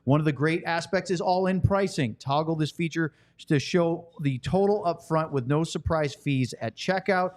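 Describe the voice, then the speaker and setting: Male sports podcast host, slightly high voice, conversational but able to deliver sponsor copy. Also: moderate pace, hardly any pauses. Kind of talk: conversational hosting and ad reads.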